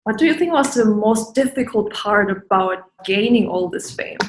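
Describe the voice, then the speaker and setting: Interviewer, woman, mid pitch, direct questioning, roomy echo on her voice. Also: expressive, normal speed. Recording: echoey room.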